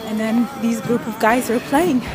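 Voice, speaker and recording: A woman in her thirties from Ethiopia, telling stories in a casual interview with thoughtful pauses and soft laughs. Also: on the high side, steady tone, over music. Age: 30s. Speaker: interviewee, pickup soccer player.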